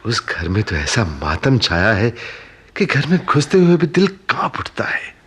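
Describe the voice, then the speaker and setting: A man's voice: mid-range voice, wildly swooping pitch, unhurried, a bit bright, husky, exaggerated voice. An actor in a radio play voicing a concerned, philosophical man who soothes and explains while caring for an injured stranger. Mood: sorrowful. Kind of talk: Hindi radio play acting.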